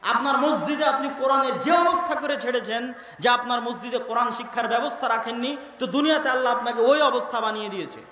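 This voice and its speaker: A man's voice: very high-pitched, fast talker, pushed and bright. A man preaching an impassioned Islamic sermon, emphatic and dramatic, repeating phrases and posing rhetorical questions. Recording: very echoey hall with faint noise.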